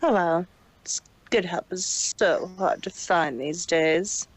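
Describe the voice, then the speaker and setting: A man's voice: high-pitched, slow pace, dry recording, voice pushed up. A man voicing a cold, scheming female unicorn pony villain who talks in a detached, condescending way. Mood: smug, scheming.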